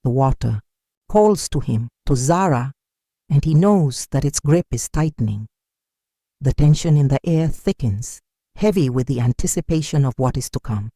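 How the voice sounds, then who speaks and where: Folktale narrator, mid-pitched and mellow, solemn dramatic read, very dry recording. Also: lively intonation, normal speed, no echo. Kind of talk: dramatic scripted storytelling narration.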